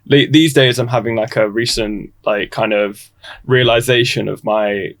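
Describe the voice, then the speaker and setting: Man, twenties, from Croatia, podcast guest, halting, reflective, dry close sound. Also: mid-range voice, steady tone, dry recording. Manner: halting, restarts often, thoughtful. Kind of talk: reflective casual conversation.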